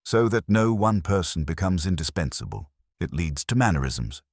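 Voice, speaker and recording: Man voicing a prim, imperious committee matron, crisply clear. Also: low-pitched, very animated, normal speed, no room sound, studio quality, exaggerated voice. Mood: prim, decisive. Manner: pompous, precise, decisive. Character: prim, imperious older woman chairing village committee.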